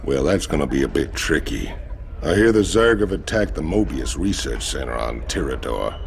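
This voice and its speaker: Very deep, gravelly ship's officer. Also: male voice, lively intonation, moderate pace, heavily acted. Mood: puzzled. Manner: plain-spoken, informal.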